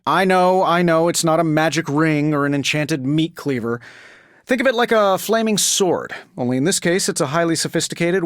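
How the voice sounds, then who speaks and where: Glib billionaire genius superhero for a game: higher-set male voice, lively swings, sarcastic and sure of himself. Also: no echo, studio quality. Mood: wry, quippy. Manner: wry, glib, quippy delivery. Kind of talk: video game voice lines.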